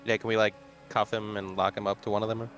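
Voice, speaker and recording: A man chatting casually as a tabletop game player, deliberate and dry. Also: on the low side, level tone, a bit bright, soft background music.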